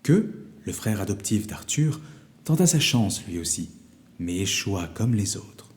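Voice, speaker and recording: Slow, mellow male storyteller. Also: on the low side, very animated. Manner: measured, dramatic pauses. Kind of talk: scripted children's fairy tale narration.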